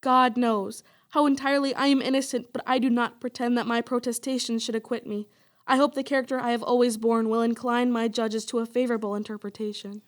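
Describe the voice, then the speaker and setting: A woman's voice: a little high, little pitch movement, brisk, natural voice. An actress playing a wrongly condemned young woman, pious and resigned, speaking earnestly and formally in a radio play. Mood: sorrowful pleading.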